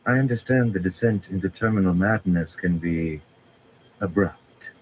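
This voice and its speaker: A man's voice: low-pitched, normal speed, a little hiss or hum, voice pushed up, close to an everyday voice, low-quality recording. A man voicing a cruel, regal woman villain who speaks in a cool, clinical, menacing way, in an audiobook. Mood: coldly lecturing. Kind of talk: audiobook character voice.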